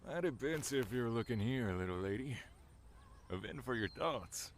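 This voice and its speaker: A man's voice: mid-range voice, lively intonation, normal speed, slight background noise, natural voice. An actor playing a folksy, flirtatious, prying rustic man in an audio drama. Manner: drawling, flirtatious, inquisitive. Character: folksy, forward middle-aged rustic male stranger.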